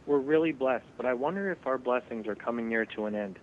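Man on a tinny phone-like line. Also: medium pitch. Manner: reels off statistics, measured. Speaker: co-host. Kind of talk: conversational broadcast discussion.

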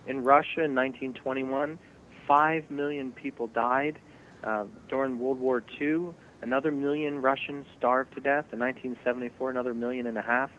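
Co-host, male, measured and fact-heavy delivery, tinny lo-fi line with faint hum. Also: medium pitch, steady tone, a bit bright. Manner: reels off statistics, measured. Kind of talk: conversational broadcast discussion.

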